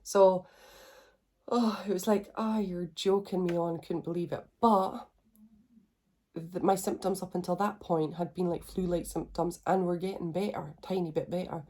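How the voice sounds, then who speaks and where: Congested woman, low-pitched. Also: fairly even intonation, normal speed, a little mellow. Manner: chatty, rambling, self-reassuring. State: congested, blocked nose. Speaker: vlogger. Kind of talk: casual video diary.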